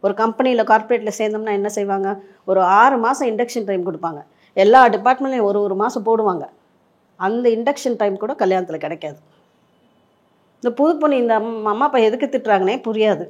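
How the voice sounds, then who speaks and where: A woman's voice: mid-range voice, fast talker, dull audio. A woman speaking as a life coach in an interview, explaining emphatically with rhetorical questions and examples, mixing in English words. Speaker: life coach giving relationship advice.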